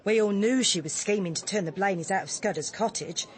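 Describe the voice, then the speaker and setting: An actress playing an opinionated, disapproving publican's wife with an English accent in a radio drama, tart and gossipy. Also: female voice, mid-range voice, brisk, natural voice.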